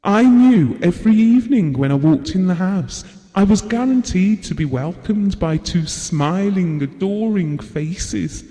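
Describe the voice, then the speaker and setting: A man's voice: high-pitched, lively intonation, a little slow, hardly any pauses, dark tone, big-room sound. An actor playing a devoted, wistful husband who reminisces tenderly and reflectively about his marriage in an intimate audio drama. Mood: adoring, earnest.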